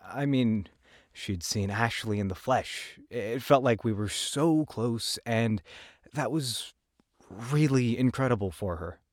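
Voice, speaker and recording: A man acting an anxious, weary young man who gives a halting, confessional first-person account in an audio drama. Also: medium pitch, no room sound, studio quality, lightly acted. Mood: uneasy, reflective. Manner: halting, hesitant. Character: weary, anxious young man recounting a frightening road trip.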